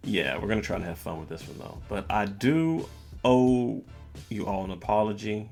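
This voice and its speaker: A man hosting a podcast, telling a personal story in a casual, measured way with music playing behind the voice. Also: fairly low pitch, animated, gravelly, a little mellow. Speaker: podcast host. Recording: music bed under the voice. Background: background music.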